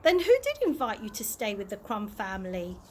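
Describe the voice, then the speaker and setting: A woman's voice: medium pitch, sing-song, brisk, very noisy, lightly acted. A woman reading a storybook and voicing a formal, probing male television host.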